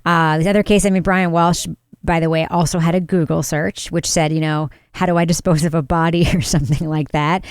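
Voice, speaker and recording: A woman hosting a true-crime podcast, chatting animatedly and laughing often. Her voice sits fairly low and sounds smooth and resonant. The mic is close and dry. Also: steady tone, a little fast, dry recording. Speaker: podcast host.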